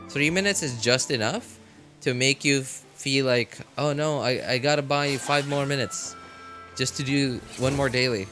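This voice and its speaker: A man's voice: mid-range voice, moderate pace, pushed and bright. A man chatting casually on a livestream as a game streamer, rambling in slangy talk and often repeating his phrases. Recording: music behind the voice. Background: background music.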